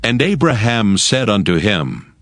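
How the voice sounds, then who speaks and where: A man's voice: fairly low pitch, very animated, unhurried, fairly bright tone, no room sound, clean studio sound. A man narrating scripture for a dramatized audio Bible in a formal storytelling manner.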